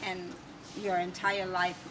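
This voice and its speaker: An American woman telling her personal story as an interview guest, emphatic and reflective, in short deliberate phrases. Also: lowish voice, monotone. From United States. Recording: distant mic, clearly noisy background.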